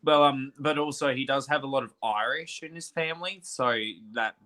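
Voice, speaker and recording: A man's voice: medium pitch, steady tone, moderate pace, bright, forceful tone. A man from Australia with an accent between British and Australian, chatting casually as a livestream host and laughing easily. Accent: mix of British and Australian. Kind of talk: casual chat.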